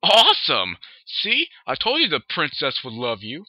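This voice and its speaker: A reader voicing a laid-back teenage colt with a loud, upbeat surfer voice, on a dull, dry lo-fi recording. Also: mid-range voice, huge pitch swings, unhurried, no room sound, voice pushed up. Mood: excited.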